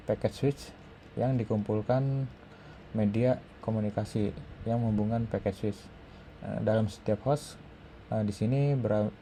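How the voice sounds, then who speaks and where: A man with an Indonesian accent reads out an academic article review in a halting, hesitant way. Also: lowish voice, mellow, a little hiss or hum. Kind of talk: scripted academic presentation, read aloud.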